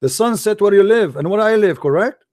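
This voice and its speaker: A man whose first language is Arabic argues insistently with a caller, his voice sitting fairly high. He cuts in with strings of "no" and slows right down when he reads passages aloud. The sound is dry and close, with no room around it. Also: lively intonation, darkish tone, no echo. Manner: insistent, argumentative, repeats "no, no"; slows down to read scripture aloud. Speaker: host. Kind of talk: live call-in debate.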